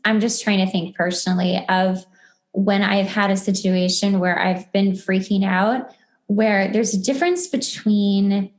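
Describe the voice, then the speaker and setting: A woman talking casually and informally on a podcast as host, peppering her talk with "like" and acted-out asides. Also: mid-range voice. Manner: informal, heavy filler "like", self-quoting asides. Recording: roomy, echoey sound.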